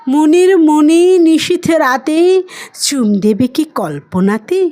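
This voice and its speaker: Female poetry reciter, higher register, very unhurried, emotive and expressive phrasing.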